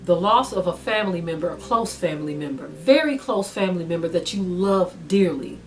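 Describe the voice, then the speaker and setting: A middle-aged woman giving a spiritual teaching talk in a measured way, often pausing with ums. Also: lowish voice, animated. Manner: measured, with frequent pauses and ums. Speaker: Bible teacher.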